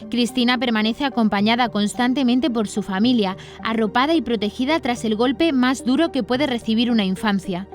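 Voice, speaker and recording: A female news presenter from reads a prepared script. Her voice sits a little high, and music plays underneath. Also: moderate pace, few pauses.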